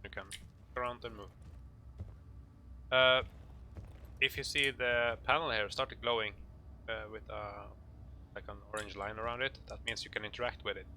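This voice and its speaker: A man with a Norwegian accent giving an informal game tutorial in English, speaking hesitantly in halting phrases. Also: on the low side, fairly even intonation, pushed and bright, a little hiss or hum. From Norway. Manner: hesitant, halting delivery with pauses. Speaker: video tutorial narrator.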